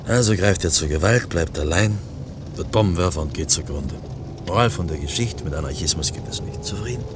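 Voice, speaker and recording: A man's voice: sits low, background noise, lightly acted. An actor playing a wry, talkative man from a film crew who needles his colleague in a radio play. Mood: dry, lecturing. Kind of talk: German radio drama acting.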